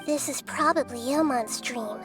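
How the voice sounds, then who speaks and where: A woman voicing a gentle, wise child-sized goddess in a video game, speaking in a measured, thoughtful, earnest way. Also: high-pitched, over music, natural voice. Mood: calm explaining.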